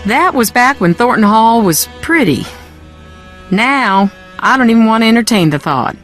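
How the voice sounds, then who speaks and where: A woman plays a wounded, defensive Southern woman in a mystery video game. Her pitch moves dramatically, and her manner is brittle and haughty. Faint music sits underneath. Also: lively intonation, normal speed, natural voice. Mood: wistful, uneasy.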